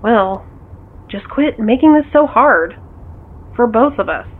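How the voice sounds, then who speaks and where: A woman's voice: on the high side, expressive, slow pace. Exasperated woman on a thin phone line. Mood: weary, pleading. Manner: blunt, reproachful. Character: exasperated but caring woman scolding her partner. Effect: phone call filter. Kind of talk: naturalistic audio drama dialogue.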